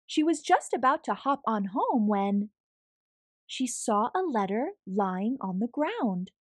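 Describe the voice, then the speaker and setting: A woman narrates a children's picture book with a lively, swooping delivery. She takes her time, pausing between phrases. Her voice sits a little high and is slightly husky. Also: dry recording, clean studio sound. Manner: expressive, deliberate, with pauses between phrases. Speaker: storybook reader. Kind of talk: scripted read-aloud of a children's picture book.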